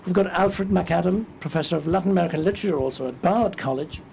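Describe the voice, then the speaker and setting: Hoarse, gravelly Englishman hosting. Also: sits high, fast, a little mellow, slight background noise, poor audio. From England. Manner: chatty and self-joking, with many fillers and restarts. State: hoarse, losing his voice. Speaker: institute director hosting the event. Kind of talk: welcoming speech introducing a panel.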